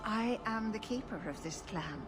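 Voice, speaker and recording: An actress playing a wise, solemn elven clan keeper in a fantasy video game, speaking in a measured, formal way. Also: female voice, medium pitch, animated, music in the background, lightly acted. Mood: calm, mystical. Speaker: clan leader and spiritual guide.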